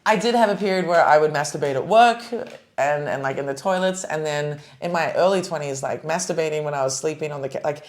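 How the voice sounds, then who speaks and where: A woman's voice: low voice, fast. A young adult woman hosting a podcast in casual chat, rambling with many fillers and restarts. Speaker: podcast host.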